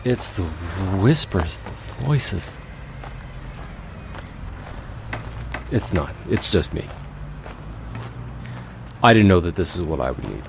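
A man playing a cold, fastidious, obsessive recluse in an audio drama monologue, speaking in a precise, deliberate, brooding way. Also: low-pitched, sing-song, vocal fry, background noise, heavily acted. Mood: disgusted, brooding. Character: cold, fastidious, obsessive male recluse confessing monstrous urges.

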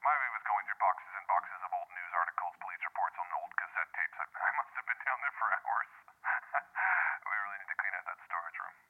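A friendly cop in an audio drama, heard down a narrow, tinny telephone line. His voice is on the low side and moves up and down a lot, with a conscientious, conversational manner. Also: expressive, a little fast. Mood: pleased, confiding. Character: male police officer, friendly, conscientious, slightly conflicted. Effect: telephone filter. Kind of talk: naturalistic audio drama dialogue.

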